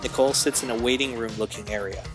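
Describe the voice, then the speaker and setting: A man's voice: medium pitch, quick pace. A man giving scripted narration for an audio drama. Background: music bed.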